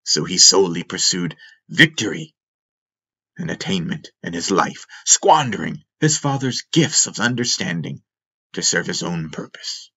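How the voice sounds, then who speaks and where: Deep-voiced man narrating solemn fantasy, slowly. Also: big pitch swings, vocal fry, sharp tone, husky. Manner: solemn, deliberate storytelling with dramatic emphasis. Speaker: audiobook narrator. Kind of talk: scripted fantasy audiobook narration.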